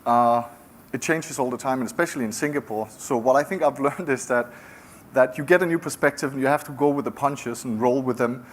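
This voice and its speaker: A middle-aged man from Denmark with a Danish accent, giving a conversational founder's talk with frequent restarts. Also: mid-range voice. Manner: conversational, halting with many restarts. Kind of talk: conference talk.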